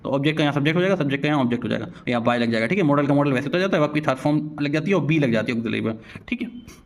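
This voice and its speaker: Guy w doing a quick informal lesson, slightly high voice, mixes in english terms. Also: lively intonation. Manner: repeats check-in tags after points. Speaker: teacher.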